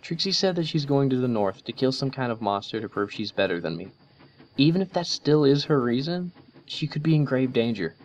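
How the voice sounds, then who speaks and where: A man's voice: medium pitch, big pitch swings, fairly quick, highs cut off, pitched above the performer's own voice, lightly acted. A man voicing an earnest, principled young female unicorn pony who lectures her friend.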